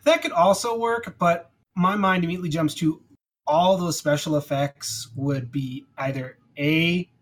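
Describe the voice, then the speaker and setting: A man with a somewhat high-pitched, husky voice talks as a podcast co-host. He is informal and emphatic, pitching ideas in the style of a casual chat between friends. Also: unhurried, a bit bright.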